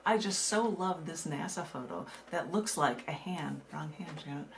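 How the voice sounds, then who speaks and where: A woman poet from the United States reading her own work and talking casually about it, in a measured way with dramatic pauses. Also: low voice, animated, vocal fry, a little hiss or hum. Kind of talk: poetry reading with casual asides.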